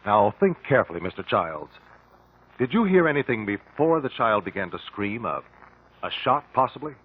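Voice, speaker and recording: An actor playing a calm, shrewd male investigator in a 1950s radio drama, questioning in a measured, precise way. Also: lowish voice, breathy edge. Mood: probing, polite. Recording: muffled lo-fi 1950 radio broadcast.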